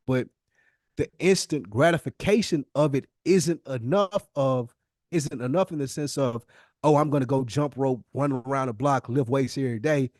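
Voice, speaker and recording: A man's voice: mid-range voice, dry recording, studio quality. A middle-aged American man talking casually and motivationally as a podcast co-host, emphatic and repeating phrases to drive points home.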